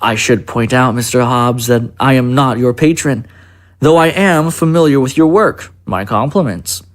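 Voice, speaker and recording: A man playing a polite, secretive presidential chief of staff in an audio drama, with formal, measured speech. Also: mid-range voice, close to an everyday voice. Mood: courteous, guarded.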